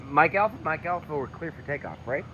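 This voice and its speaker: A man's voice: fairly high pitch, animated, fairly quick, fairly bright tone, dull audio. A young man in casual voice chat, playing an air traffic controller with loose, playfully slurred, hesitant speech.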